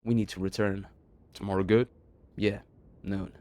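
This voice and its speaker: A man's voice: on the low side, flat delivery, moderate pace, mellow, dry recording. A man narrating a scripted horror story as a video narrator, in a dramatic storytelling manner.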